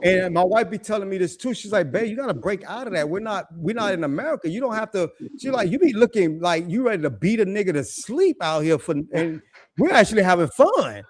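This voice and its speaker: A middle-aged man talks in a loose, laid-back way, full of slang and repeated phrases. His voice is raspy, and he pitches it somewhat high. It sounds like an easy, unhurried conversation. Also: sing-song, no room sound, studio quality. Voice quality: deep raspy voice. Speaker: guest living abroad. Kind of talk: casual chat.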